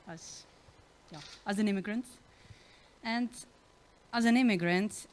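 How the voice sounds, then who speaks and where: A woman's voice: medium pitch, a little hiss or hum. A woman from Ukraine with a Ukrainian accent, telling her personal story on a conference stage, hesitant and laughing often. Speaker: conference speaker, former student.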